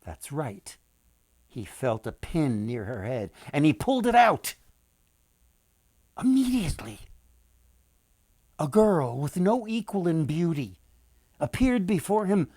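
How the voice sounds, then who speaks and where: An older man reading a children's story expressively, with dramatic pauses, addressing his listeners directly. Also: on the high side, very animated, a little mellow, no echo, studio quality. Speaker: author and storyteller.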